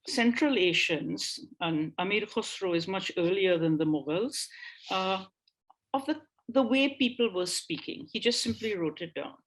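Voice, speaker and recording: Slow-talking woman lecturing over a call. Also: mid-range voice, low-quality recording. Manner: thinking aloud, with frequent pauses and restarts; reads out an old text in a slower voice. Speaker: guest lecturer on language history. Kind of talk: online lecture. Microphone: video call.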